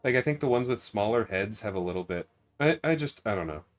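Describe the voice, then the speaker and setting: A man's voice: lowish voice, lively intonation, fast talker, soft background music. A man hosting a casual gaming livestream, thinking aloud in a rambling way as he chats to viewers. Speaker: livestream host.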